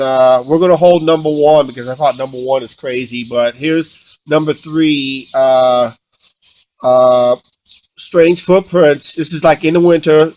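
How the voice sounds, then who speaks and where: A man telling stories casually and unscripted, halting and often repeating himself as he restarts sentences. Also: on the high side, a little mellow, low-quality recording. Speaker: vlog co-host.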